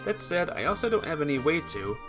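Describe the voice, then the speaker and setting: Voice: medium pitch, very animated, a little fast. A streamer reading a visual novel aloud, often stumbling over words and correcting the slip, with music behind. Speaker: streamer, game player.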